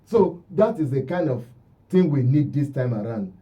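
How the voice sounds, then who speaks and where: A man's voice: mid-range voice, animated, moderate pace, distant mic. A Nigerian man speaking as a guest commentator in a radio discussion, emphatic and repeating phrases for stress. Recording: dull, lo-fi radio audio.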